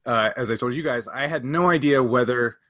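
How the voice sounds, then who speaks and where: Bright-voiced man chatting on a podcast. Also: mid-range voice, a little fast, no echo. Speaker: podcast host and game master. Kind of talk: casual podcast chat.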